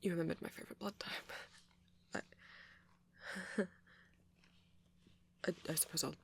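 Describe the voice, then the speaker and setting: A man voicing a shy, gentle vampire boyfriend in an ASMR romance roleplay, stammering hesitantly in a soft, breathy voice. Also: fairly high pitch, steady tone, slow, a little hiss or hum, exaggerated voice. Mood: shy, flustered.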